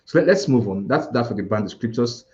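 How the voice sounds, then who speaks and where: A man's voice: mid-range voice, lively intonation, fast, a little mellow. Nigerian guy tutoring ielts live, lots of restarts n examples, muffled lo-fi audio. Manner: explanatory, listing examples, frequent restarts. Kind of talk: live online class.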